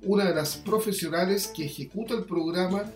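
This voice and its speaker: A man reading scripted local news, in a roomy sound with soft guitar music behind. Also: high-pitched, a little fast. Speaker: news presenter.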